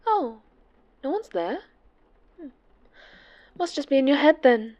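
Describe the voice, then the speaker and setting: A woman playing an obsessive, possessive winged superhero in a horror ASMR roleplay, sweetly menacing and taunting, often slipping into breathy whispers. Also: high voice, dull audio, exaggerated voice. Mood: mocking, sweet.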